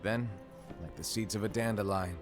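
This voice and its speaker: Deep-voiced solemn fantasy master, played by a man. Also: over music, natural voice. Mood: calmly instructing. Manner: measured, grave, instructing. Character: solemn, enigmatic male master of keyblade wielders. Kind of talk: scripted fantasy game cutscene acting.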